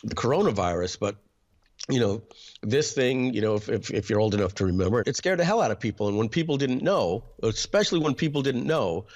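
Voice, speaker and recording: A man, telling a personal story as an interview guest, hesitant, with frequent throat clearing and filler words. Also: mid-range voice, expressive, fairly quick, a little mellow. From New York. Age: 50s.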